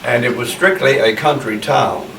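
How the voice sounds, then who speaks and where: A man's voice: medium pitch, normal speed, vocal fry, a crowd in the background. Plainspoken old man, distant mic. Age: 80s. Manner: rambling, many uhs, plainspoken recollection. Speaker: interviewee. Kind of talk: oral history interview. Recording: distant mic in a roomy space with background noise.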